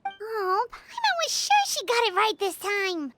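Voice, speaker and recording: A woman voicing a small, excitable fairy companion in a video game who talks about herself in the third person. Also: very high-pitched, a little slow, heavily acted. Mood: deflated. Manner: refers to herself in the third person, exclaims often. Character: tiny floating fairy companion, excitable and chatty. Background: soft game music.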